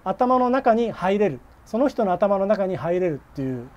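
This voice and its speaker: A man speaks with a soft, mellow tone and a very lively, rising and falling melody. He explains things politely as an interview guest, feeling his way through the sentences on a video call. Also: sits high, normal speed, dull audio. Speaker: film journalist guest.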